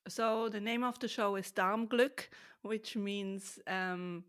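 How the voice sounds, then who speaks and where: A woman whose first language is German, speaking English as a podcast guest, hesitant with many pauses and fillers. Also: medium pitch, fairly even intonation, clean tone. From Switzerland. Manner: hesitant, many ums, frequent soft laughs. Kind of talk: casual interview chat.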